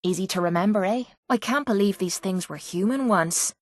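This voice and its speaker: A woman's voice: medium pitch, normal speed, dry recording, clean studio sound, lightly acted. An actress playing a tough, sardonic young female brawler in a video game, with an Irish accent and a brash, earthy way of talking. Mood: scornful, sardonic. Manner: brash, sarcastic, earthy slang. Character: tough, foul-mouthed, sardonic female brawler with a violent streak. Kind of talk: video game character voice lines.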